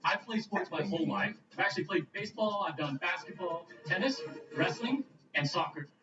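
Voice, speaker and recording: A man in his twenties from Illinois introducing himself as a contestant, cocky and energetic. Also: sits high, moderate pace. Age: 20s. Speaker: game show contestant. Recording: distant, muffled, roomy TV audio with music.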